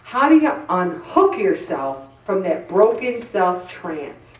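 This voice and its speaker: A woman's voice: mid-range voice, sing-song, slow pace, a little mellow. A middle-aged woman giving a candid, self-deprecating faith-based talk to a group, acting out her own inner voices. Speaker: group speaker. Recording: roomy echo from some distance.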